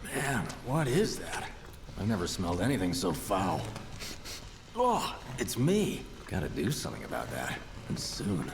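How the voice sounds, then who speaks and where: Animated, easygoing young rebel. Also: male voice, sits low, unhurried, exaggerated voice. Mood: joking, casual. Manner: casual, animated, joking. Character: easygoing, chatty young male rebel fighter. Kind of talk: video game acting. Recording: loud music and rain behind.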